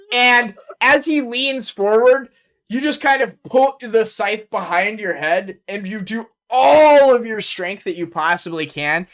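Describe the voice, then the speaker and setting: Game master, man, bright animated voice, loose chatty narration. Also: high-pitched. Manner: animated, laughs often, many fillers.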